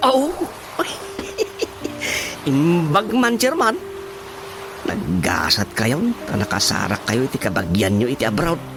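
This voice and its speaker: An actor with a Filipino Ilocano accent playing a chummy, teasing neighbour in a radio comedy drama, exclamatory and drawling. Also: male voice, mid-range voice, huge pitch swings, slow pace, heavily acted. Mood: teasing, impressed. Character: chummy, teasing neighbour who flatters the chairman. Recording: music behind the voice.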